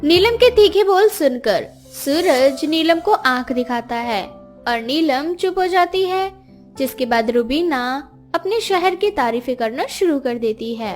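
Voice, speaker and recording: A woman tells a scripted story in a high-pitched voice. She works as the narrator of a moral tale. Faint music plays behind her. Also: expressive, takes it slowly.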